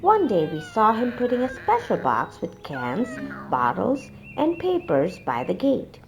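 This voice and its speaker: Very animated woman reading a children's story. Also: a little high, a little slow, a little hiss or hum. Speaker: storybook reader. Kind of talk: animated children's read-aloud narration. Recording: music playing behind the voice. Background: music.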